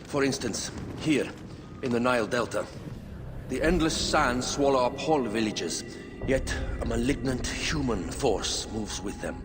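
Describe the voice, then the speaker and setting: An actor playing a grave older royal adviser in a game cutscene, speaking in a measured, lecturing way. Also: male voice, medium pitch, animated, natural voice. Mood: solemn. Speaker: queen's adviser. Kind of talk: video game cutscene acting. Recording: reverberant game audio with music.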